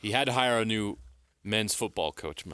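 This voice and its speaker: An American man co-hosting sports radio, giving measured, explanatory analysis in a conversational style on a close studio mic. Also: fairly low pitch, pushed and bright, smooth, no room sound. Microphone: close studio broadcast mic.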